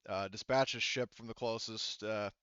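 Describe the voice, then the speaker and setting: Male game master, low smooth voice, halting casual narration, dry lo-fi sound. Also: steady tone, bright, forceful tone, no room sound. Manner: halting, rambling explanations, casual swearing.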